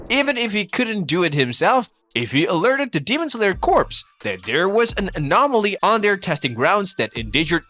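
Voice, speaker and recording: A man's voice: sits high, fairly bright tone, no room sound. A man reading scripted video essay narration with rhetorical, mock-exasperated delivery. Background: background music.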